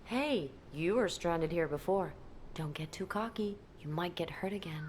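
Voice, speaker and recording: A confident female squad commander in a video game, with a low voice. She talks bluntly with a dry, teasing edge, over some background noise. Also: animated, a little slow, darkish tone. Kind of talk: scripted game cutscene acting.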